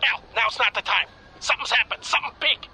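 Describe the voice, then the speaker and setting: An actor playing an excitable, youthful, informal male space lieutenant in a sci-fi audio drama. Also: extremely high pitch, quick pace, exaggerated voice. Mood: urgent. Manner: urgent, informal, easily flustered. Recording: reverberant hall, faint music.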